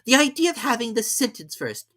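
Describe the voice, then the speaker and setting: A man's voice: extremely high pitch, very animated, a little fast, sharp tone, pitched above the performer's own voice, exaggerated voice. A man voicing a bold young girl who speaks out defiantly in a storybook reading.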